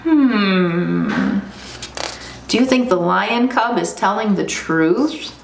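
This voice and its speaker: A woman's voice: mid-range voice, lively intonation, very slow, vocal fry, heavily acted. A woman voicing a wary, doubtful owl who ponders sceptically in a children's storybook reading. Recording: roomy echo, faint background noise.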